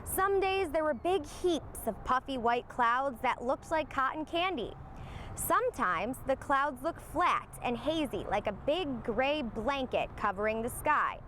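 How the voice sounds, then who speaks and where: A woman reading a children's storybook aloud in an expressive storytelling manner. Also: high voice, unhurried, a little hiss or hum. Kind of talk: scripted storybook narration for young children.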